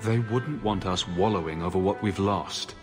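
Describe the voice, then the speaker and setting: Male actor as composed older-brother mage hero, fantasy game: measured, lowish voice, faint music behind. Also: long reverb tail, natural voice. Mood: calm, reflective. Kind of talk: fantasy video game acting.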